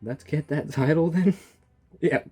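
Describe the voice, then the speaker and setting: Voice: mid-range voice, measured pace, dark tone, soft background music. A gamer giving casual reaction commentary on a game story, bantering in a teasing, exasperated way.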